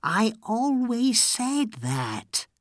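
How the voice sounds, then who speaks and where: Narrator as sly vizier, slow and raised. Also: medium pitch, big pitch swings, no echo, clean studio sound, voice pushed up. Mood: smug, sly. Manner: quiet, drawn-out, insinuating. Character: sly, insinuating male vizier, scheming royal adviser.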